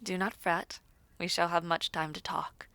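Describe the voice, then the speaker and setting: A woman playing a composed, reassuring noblewoman in a fantasy audio roleplay, speaking in a measured, formal, deliberate way. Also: lowish voice, steady tone, vocal fry, a bit bright, no echo.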